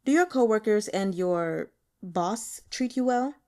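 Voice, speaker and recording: Gentle scholarly man, played by a female reader, courteous and considerate, lively melodic phrasing, storybook dialogue. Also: medium pitch, unhurried. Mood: friendly, coaxing. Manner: courteous, softly apologetic small talk. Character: polite, gentle male university teacher, considerate and scholarly.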